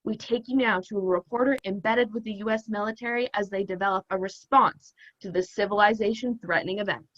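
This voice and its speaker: A woman's voice: mid-range voice, fairly even intonation, brisk, few pauses, fairly bright tone, natural voice. A teenage woman playing a solemn, overdramatic radio news anchor, announcing formally over a video call. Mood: grave, urgent. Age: teens. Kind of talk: mock radio news bulletin. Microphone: computer microphone on video call. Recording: dull, muffled video-call audio.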